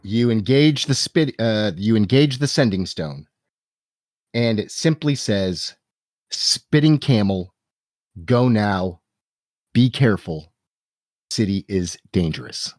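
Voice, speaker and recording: A man's voice: on the low side, animated, takes it slowly, pauses often, darkish tone, no echo, clean studio sound. A man narrating a tabletop role-playing game as game master, with theatrical storytelling and playful slang. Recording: music playing under the voice.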